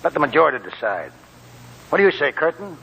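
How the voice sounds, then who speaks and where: An actor playing a shrewd, folksy old American gold prospector in a 1940s radio drama. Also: male voice, fairly high pitch, sing-song, quick pace, gravelly, pushed and bright, breathy edge, heavily acted, poor audio. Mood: grave, pondering. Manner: folksy, chuckling.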